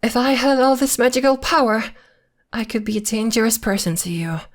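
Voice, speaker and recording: A woman's voice: fairly high pitch, fairly even intonation, a little fast, natural voice. A woman playing a possessive girlfriend who is secretly a witch, coy and sweetly menacing, in an ASMR roleplay. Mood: breezy, evasive. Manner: coy, teasing, veiled threats in a sweet tone.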